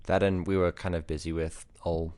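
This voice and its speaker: A man co-hosting a family podcast, casually chatting and telling stories with deadpan humor. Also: low-pitched, normal speed, no room sound. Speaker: podcast co-host. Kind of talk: casual chat and storytelling.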